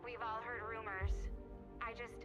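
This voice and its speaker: A woman playing a tough, skeptical resistance soldier in a video game, with a brisk, curt military delivery. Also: fairly high pitch, little pitch movement, close to an everyday voice. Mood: sober. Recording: loud game soundtrack music underneath.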